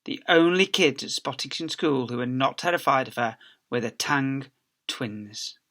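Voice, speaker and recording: A man who is a teacher reading a story aloud to children, with animated, theatrical emphasis. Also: medium pitch, measured pace. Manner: theatrical emphasis with acted sound effects.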